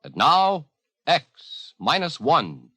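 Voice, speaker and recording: Bright, animated radio announcer man. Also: fairly high pitch, extremely slow, husky, dry recording. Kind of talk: crisp scripted 1950s radio announcing.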